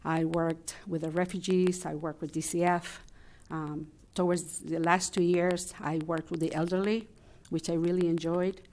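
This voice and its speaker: A woman's voice: fairly low pitch, flat delivery, normal speed, a little mellow. Chilean woman. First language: Spanish. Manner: candid, hesitant with fillers. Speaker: family liaison and interpreter. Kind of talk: personal story at a meeting.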